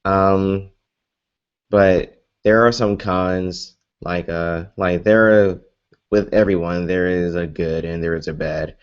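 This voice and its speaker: A man's voice: low-pitched, steady tone, slow, clean tone, highs cut off. A young American man chatting casually in a live Q&A vlog, rambling with many fillers and restarts. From United States. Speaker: vlogger.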